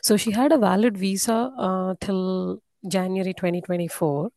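Woman caller, low gravelly voice, kinda mellow, lots of uhs, dry sound. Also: steady tone, normal speed, no echo. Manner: hesitant, many fillers. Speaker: caller, a parent. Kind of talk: phone call question. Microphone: conference call line.

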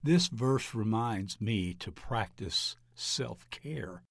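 Male pastor for scripted narration, lowish pitch, very unhurried and measured. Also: lively intonation, gravelly, dry recording, studio quality. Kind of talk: scripted narration of an article on ministry.

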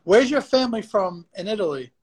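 A man with a notably high voice hosts a weekly podcast over a livestream. He keeps the talk relaxed and friendly as he leads the conversation with his guest. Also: a little fast, husky. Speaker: podcast host. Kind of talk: casual chat.

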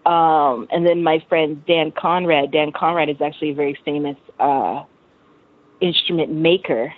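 Low-pitched American woman, thin phone-like sound. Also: fairly even intonation, normal speed, dry recording. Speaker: interview guest, musician. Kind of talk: casual chat.

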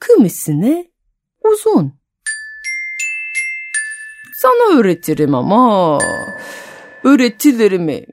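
A woman storyteller with a French accent voicing a wise old male folk sage who calmly instructs a pupil. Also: high voice, heavily acted. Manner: calm, instructive, slow deliberate phrasing.

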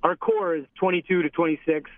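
Male caller, fairly high pitch, casual opinionated talk, dry telephone line. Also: brisk, no echo. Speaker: phone-in caller. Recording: thin narrowband phone line.

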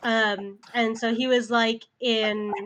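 A woman from California's San Fernando Valley, whose first language is Spanish, chats freely in a group conversation. Her voice sits a little high, and "like" runs through nearly every sentence. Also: little pitch movement, takes it slowly, a bit bright, a little hiss or hum. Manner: chatty, many "like" fillers. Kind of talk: casual group chat.

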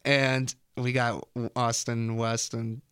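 A man's voice: mid-range voice, level tone, slow pace, fairly bright tone, smooth, no room sound, clean studio sound. A young man hosting a casual radio chat in an upbeat, effusive way. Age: young adult. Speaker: college radio show host. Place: radio studio. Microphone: close studio microphone.

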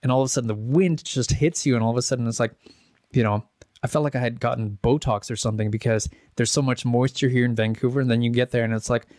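A man's voice: mid-range voice, steady tone, quick pace, darkish tone, no echo, studio quality. A man in his thirties, born in the Czech Republic, chatting as a musician guest in an interview, rambling through stories with many restarts and fillers. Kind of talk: casual interview chat.

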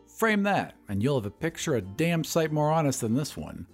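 Audio drama: gloomy old male painter, played by a male narrator, cynical, blunt, mocking, animated pitch over music. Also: normal speed. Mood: bitter. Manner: sarcastic, gruff, blunt.

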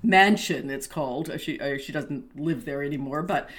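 A woman's voice: low voice, normal speed. An older woman hosting a casual interview, chatty and full of fillers. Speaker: podcast host.

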